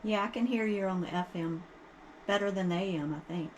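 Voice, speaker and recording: A woman's voice: medium pitch, brisk. A woman chatting casually as a test partner, heard through a two-way radio. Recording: muffled, very noisy CB radio with static.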